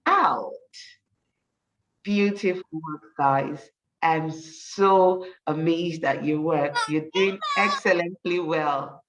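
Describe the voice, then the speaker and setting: A woman teacher reading a rhyming story for children, with an encouraging manner and deliberate, emphatic delivery. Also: low-pitched, recorded from a distance, rough recording. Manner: encouraging, praising, addressing children directly.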